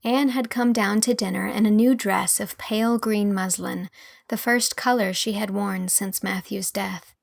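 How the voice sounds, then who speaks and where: A woman's voice: medium pitch, steady tone, a little slow, gravelly, no echo, studio quality. A woman reading scripted literary narration as the narrator of an audiobook.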